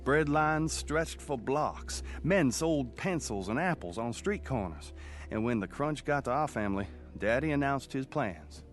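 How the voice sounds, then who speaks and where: Man as plain-spoken reminiscing narrator, radio drama, medium pitch, lively delivery, faint music behind. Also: unhurried, natural voice. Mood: reminiscent. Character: folksy man recalling his hard rural boyhood.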